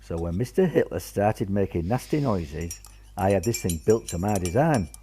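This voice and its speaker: A man's voice: deep voice, lively intonation, normal speed, dark tone, slight background noise. An actor playing a blunt, reassuring grandfather and war veteran in an amateur radio drama, with a folksy manner. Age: older. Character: gruff, reassuring grandfather, wartime veteran, family patriarch.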